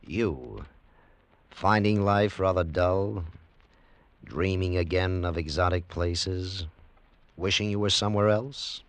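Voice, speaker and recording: An actor playing an ominous, insinuating host who opens a 1950s radio adventure drama. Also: male voice, deep voice, no room sound. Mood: ominous invitation. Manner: slow, insinuating, suspenseful. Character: ominous male radio show host luring bored listeners. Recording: noisy old radio recording.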